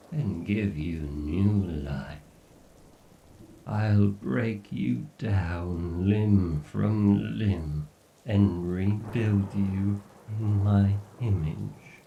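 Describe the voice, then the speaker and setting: A man playing an obsessed, sadistic, godlike AI that speaks with slow, theatrical menace in a horror ASMR roleplay. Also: low-pitched, creaky, warm tone, roomy sound, far from the mic, dull audio, a little hiss or hum, heavily acted. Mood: possessive, sadistic. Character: obsessed, sadistic, godlike male AI tormenting its captive human.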